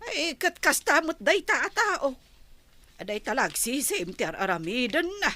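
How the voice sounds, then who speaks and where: Fretful wary housewife, ilocano radio drama, voice jumps around a lot. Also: female voice, sits high, very animated, moderate pace, bright, forceful tone, no room sound, exaggerated voice. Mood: suspicious. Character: fretful, suspicious housewife wary of her neighbours.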